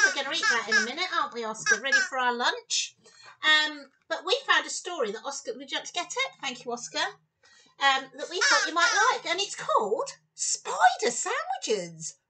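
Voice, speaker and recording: A woman in her fifties giving an expressive storytime read-aloud for young children, with exclamations and chatty asides. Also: high-pitched, distant mic, rough recording. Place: small room at home, indoors.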